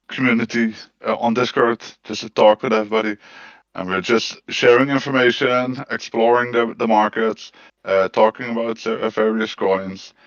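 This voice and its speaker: Easygoing man on dull call audio. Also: lowish voice. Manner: easygoing, rambling with fillers. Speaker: crypto community host. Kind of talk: casual chat.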